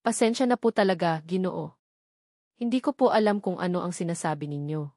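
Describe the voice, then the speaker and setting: A woman's voice: on the low side, brisk, no room sound, clean studio sound. Woman voicing a guarded, clipped young mother. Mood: forced calm. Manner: forcedly calm, clipped, polite. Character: guarded, frightened mother hiding her identity.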